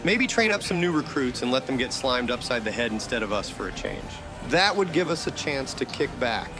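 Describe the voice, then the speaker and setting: A man's voice: medium pitch, very animated, a little fast. An actor voicing a wisecracking, laid-back male ghost hunter with a dry, sarcastic delivery for a motion comic. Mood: deadpan scheming. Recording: loud music bed under voice.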